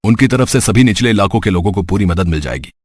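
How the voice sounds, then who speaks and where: A man's voice: lowish voice, expressive, fast talker, a little mellow, husky, dry recording. A man playing a dutiful, reassuring doctor in a cartoon story. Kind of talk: Hindi cartoon story voice acting.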